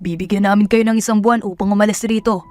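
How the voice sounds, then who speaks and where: A woman's voice: medium pitch, steady tone, quick pace, dark tone, no room sound, soft background music, natural voice. A woman voicing a domineering, dismissive male mining boss in an audio drama. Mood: stern, dismissive. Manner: stern, dismissive. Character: stout, domineering male mining company man. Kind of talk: Tagalog audio drama dialogue.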